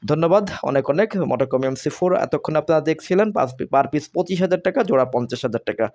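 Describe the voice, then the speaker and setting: A man with a Bangladeshi accent gives a product demonstration as a seller, speaking in halting, repetitive phrases. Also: a little high, expressive, a little mellow. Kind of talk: product demonstration sales pitch.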